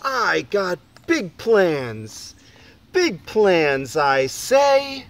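A man voicing a bossy, boastful little boy from a storybook, proclaiming loudly and emphatically. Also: high-pitched, wildly swooping pitch, drawn-out words, voice pushed up, heavily acted. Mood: boastful, commanding.

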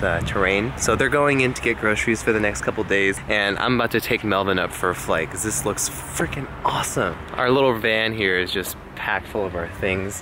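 A man in his thirties chatting casually and excitedly to a handheld camera as a travel vlogger, upbeat and gushing. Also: on the low side, background noise. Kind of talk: casual vlog chat to camera. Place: handheld travel vlog, outdoors and in rented rooms. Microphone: camera at arm's length. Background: music.

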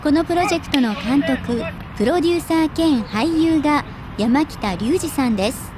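A middle-aged woman presenting scripted news in a polite, clearly articulated way. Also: sits high, expressive, normal speed, background noise. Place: TV studio.

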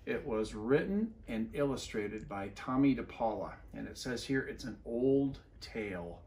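Male storyteller, 50s, expressive read-aloud for young kids, slow pace, animated intonation, explains as he goes. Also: mid-range voice. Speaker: school superintendent reading a storybook. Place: living room armchair by a fireplace, indoors.

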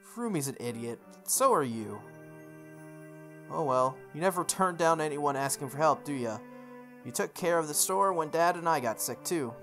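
Woman reading as a blunt young female shopkeeper, low voice, laid-back, teasing, visual novel dialogue, music behind. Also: expressive, moderate pace. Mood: blunt, caring.

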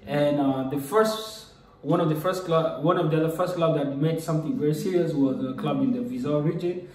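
A man's voice: on the high side, brisk, far from the mic. Young man, from South Sudan, casual vlog, rambling with fillers, echoey room. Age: young adult. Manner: relaxed, rambling, with frequent fillers. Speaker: vlogger. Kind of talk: casual storytelling to camera. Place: small room indoors. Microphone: camera at desk distance. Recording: echoey room, mic set back.

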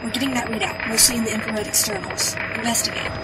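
An actress playing a businesslike military base controller in an audio drama, speaking in clipped radio protocol. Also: female voice, fairly high pitch, level tone, fast, lightly acted. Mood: businesslike, steady. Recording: distant radio, loud noise behind.

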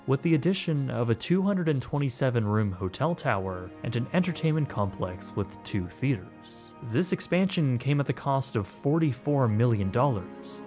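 Male narrator, scripted documentary voice-over, animated pitch, faint guitar music under.

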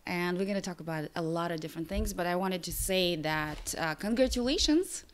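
A woman's voice: fairly low pitch, brisk. A woman hosting a podcast interview, friendly and encouraging, with fillers. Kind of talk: casual interview. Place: living room with table. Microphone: desk mic on stand.

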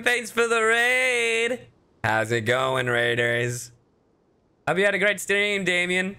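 Male streamer, lively swinging pitch, bright forceful voice, casual informal chat, animated delivery. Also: clear, resonant tone. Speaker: streamer, host. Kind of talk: casual livestream chat over a game.

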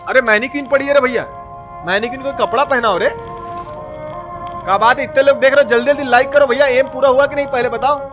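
A man's voice: extremely high pitch, steady tone, brisk, lo-fi sound. A man with a Bihar and Purvanchal Hindi accent giving casual, playful live gaming commentary, full of colloquial exclamations and repeated phrases. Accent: eastern Hindi belt (Bihar and Purvanchal). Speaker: live game streamer. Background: video game music.

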